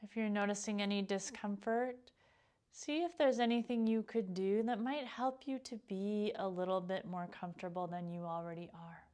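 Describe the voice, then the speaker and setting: Female meditation teacher, smooth resonant mid-range voice, gentle unhurried guidance with calm pauses. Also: medium pitch. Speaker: yoga nidra meditation teacher. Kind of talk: guided meditation instruction.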